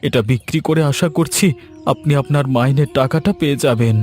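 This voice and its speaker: A man voicing a poor, gentle fisherman father in a cartoon story, speaking in an earnest, plaintive way. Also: medium pitch, normal speed, faint music behind, lightly acted. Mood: pleading.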